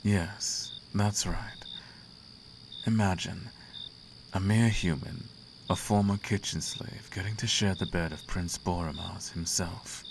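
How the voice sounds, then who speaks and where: Man voicing a very deep, gravelly demon prince. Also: steady tone, noisy background. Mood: seductive, indulgent. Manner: slow, courtly, teasing. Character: seductive, imperious male demon prince of the Abyss. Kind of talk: flirtatious roleplay ASMR acting.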